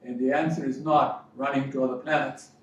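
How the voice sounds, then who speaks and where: A man's voice: mid-range voice, little pitch movement. Man preaching in a very echoey room. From Fiji, educated in New Zealand. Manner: deliberate, preaching, with emphatic repetition. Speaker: life coach and spiritual speaker. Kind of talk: motivational spiritual talk. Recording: very echoey room, distant mic, dull sound, faint noise.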